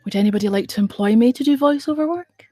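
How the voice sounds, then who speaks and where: A woman's voice: fairly high pitch, fast talker, warm tone, no echo, studio quality, soft background music. A middle-aged woman hosting a casual livestream, rambling and chatting in reply to viewer comments. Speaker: radio show host on a livestream.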